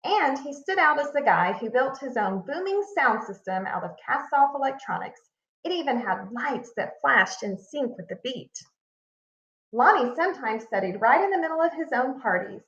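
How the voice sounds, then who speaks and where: A woman reading a children's picture book aloud like a teacher, expressive and deliberate, leaning on key words. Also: high voice. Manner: expressive, deliberate storytelling with emphasis. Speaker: read-aloud narrator, teacher. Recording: echoey room, slightly muffled sound.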